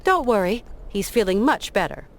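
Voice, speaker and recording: Firm plucky young adventurer for a video game, very animated pitch. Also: female voice, normal speed. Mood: firm, defiant. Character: determined, caring young woman adventurer, plucky and defiant.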